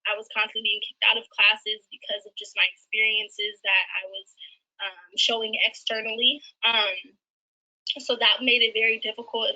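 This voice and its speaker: A woman's voice: on the high side, bright, forceful tone, lo-fi sound. A woman in her twenties, a former foster youth, gives an earnest, reflective personal testimony in a recorded video. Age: 20s. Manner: earnest, reflective, with frequent um fillers.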